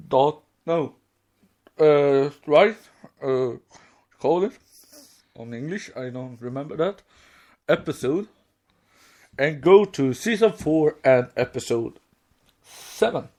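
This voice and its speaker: A man with a Swedish accent chatting as a podcast co-host, halting as he searches for words in English. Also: on the high side, a little mellow. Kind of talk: casual fan podcast chat.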